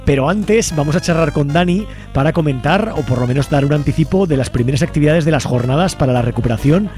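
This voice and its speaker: A man's voice: fairly high pitch, animated, quick pace. A man Spain, presenting a live community radio show as its host a studio microphone. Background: music.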